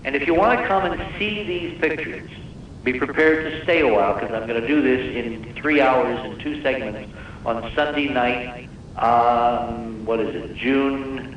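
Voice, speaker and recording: A man's voice: mid-range voice, measured pace. A man speaking as a guest researcher on a live radio interview, explaining technical detail emphatically and repeating words for weight. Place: live radio call-in show. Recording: distant, very echoey, muffled noisy radio broadcast.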